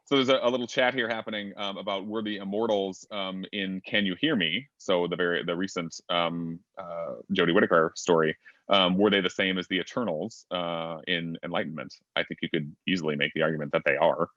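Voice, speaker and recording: A man's voice: low voice, fairly even intonation, fairly quick, fairly bright tone, dry recording, lo-fi sound. A man moderating a casual online fan panel, chatty and thinking aloud with many fillers.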